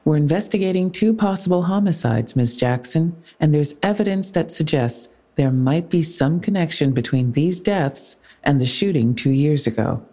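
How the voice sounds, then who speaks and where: Casting: steady female cop, low-pitched dark voice, polite but unyielding, realistic radio drama interrogation. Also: moderate pace, hardly any pauses. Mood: firm, composed. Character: firm, composed female police officer questioning a witness. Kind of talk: naturalistic audio drama dialogue.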